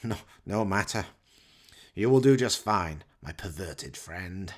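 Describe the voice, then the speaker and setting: A man's voice: low voice, lively intonation, takes it slowly, full character voice. A man voicing a cunning mad scientist villain in a comic horror radio play, muttering in a darkly theatrical way. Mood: menacing muttering.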